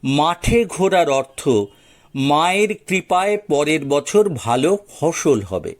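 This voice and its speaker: A man narrating a folk tale as a storyteller, in a dramatized audio story style. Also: a little high.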